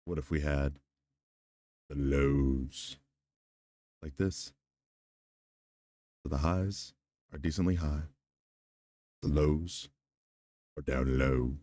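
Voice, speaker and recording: Very deep-voiced male streamer. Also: extremely slow, long pauses, dark tone, no room sound, clean studio sound. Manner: joking, talks to himself, tests his mic. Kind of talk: casual gaming livestream chat.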